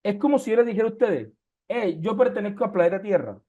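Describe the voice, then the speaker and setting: A man's voice: sits high, lively intonation, quick pace, dark tone, lo-fi sound. A man from Colombia, teaching a research methods class online and explaining through everyday comparisons and rhetorical questions. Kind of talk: online lecture.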